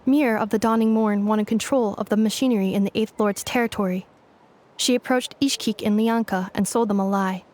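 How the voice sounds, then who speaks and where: A woman voicing a calm, dutiful android woman in a game, speaking in an even, formal, machine-like way. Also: medium pitch, fairly quick, close to an everyday voice. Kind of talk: game dialogue.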